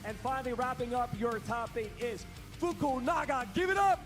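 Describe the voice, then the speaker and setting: A man working as a tournament stage announcer, rallying a crowd and shouting out drawn-out names. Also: very high voice, expressive. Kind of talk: hype introductions to a live audience. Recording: loud music over the voice. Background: loud music.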